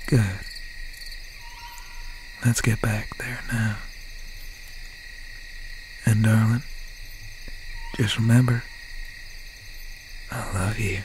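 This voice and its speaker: A man playing an obsessed, menacing country slasher boyfriend in an ASMR roleplay, speaking in a breathy, halting, often whispered voice with folksy phrasing. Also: lowish voice, fairly even intonation. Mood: threatening, tender. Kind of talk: ASMR roleplay, slow and intimate. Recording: noisy night ambience with crickets, owl. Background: crickets, insects and an owl.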